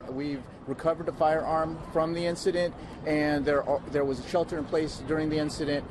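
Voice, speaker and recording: A man's voice: on the high side, steady tone, fairly quick. Male police spokesman, noisy street. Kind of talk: official statement to press. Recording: noisy outdoor city street with traffic.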